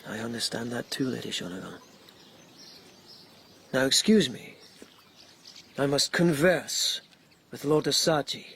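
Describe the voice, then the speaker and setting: A man's voice: medium pitch, expressive, unhurried, gravelly, long pauses, a bit bright, a little hiss or hum. An actor playing a dogged, intense palace policeman in a radio drama, speaking in an earnest, formal and carefully courteous way. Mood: intense, weary.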